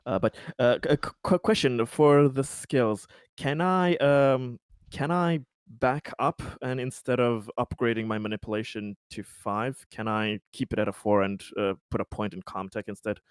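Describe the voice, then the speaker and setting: A man chatting casually as a tabletop game player, halting and repeating his words. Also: mid-range voice, clean tone, no echo, clean studio sound. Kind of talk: casual chat.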